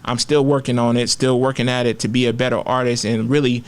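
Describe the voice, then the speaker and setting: A man's voice: mid-range voice, flat delivery, fast. A man, talking candidly and with plenty of profanity and slang as a rapper guest in a casual interview. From Oakland, California.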